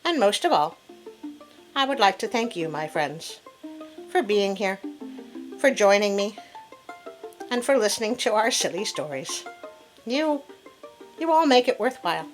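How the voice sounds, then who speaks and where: Expressive woman storyteller over music. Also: medium pitch, a little slow, long pauses. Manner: expressive, chatty. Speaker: host and storyteller. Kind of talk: storybook reading with casual commentary to viewers. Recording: music playing behind the voice. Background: instrumental classical music.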